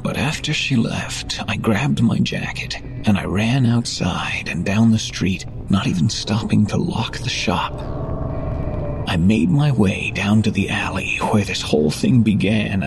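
Haunted shop worker voiced by an actor, first-person horror: low gravelly voice, widely swinging intensity, music underneath. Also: male voice, full character voice. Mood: shaken. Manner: intense, halting, breaking into anguished shouts. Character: frightened, guilt-ridden male shop worker telling his story.

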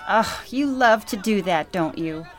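A woman playing a loving, bustling grandmother in a sentimental radio play, expressive, chatty and affectionately teasing. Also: medium pitch, measured pace, close to an everyday voice.

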